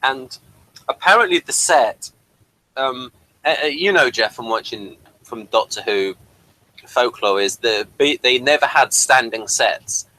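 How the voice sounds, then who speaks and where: A man with a very bright, forward voice at a middle pitch talks casually as a fan co-host. He rambles through trivia haltingly, often restarting and repeating his phrases. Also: animated, rough recording. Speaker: podcast co-host. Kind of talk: casual fan discussion with behind-the-scenes trivia.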